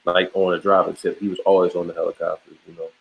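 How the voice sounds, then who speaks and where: A man talking as an interview guest in a relaxed, emphatic way, full of slang, restarts and boasts. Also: low voice, fast talker, creaky, narrow, tinny audio. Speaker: podcast host, guest on the show. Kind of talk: casual interview chat.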